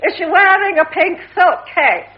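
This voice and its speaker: An actress with a British accent playing a shrewd, manipulative fortune teller who coaxes and commands theatrically in a 1950s radio drama. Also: female voice, extremely high pitch, lively intonation, moderate pace, a little hiss or hum. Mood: imperious, brisk. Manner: theatrical, cooing, coaxing and imperious by turns. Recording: muffled 1950s radio recording.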